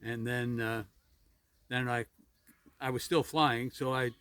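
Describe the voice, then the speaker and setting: A man in his seventies from New Jersey telling his life story in a casual interview, halting and repeating words with little chuckles. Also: mid-range voice, steady tone, fairly bright tone, clear, resonant tone, no echo. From New Jersey, USA. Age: 70s. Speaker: interviewee, retired pilot.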